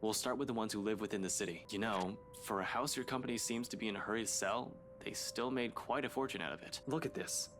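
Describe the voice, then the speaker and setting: Casting: male actor as blunt, tired detective for horror visual novel, low register, over music. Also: fast, hardly any pauses, a bit bright, slight background noise, lightly acted. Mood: dry, suspicious.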